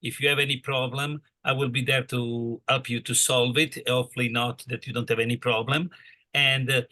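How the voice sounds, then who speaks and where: Man, Italian accent, bright tone, many pauses and fillers, program coordinator. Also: medium pitch, little pitch movement. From Italy. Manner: halting, many fillers, friendly. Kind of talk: casual info session talk.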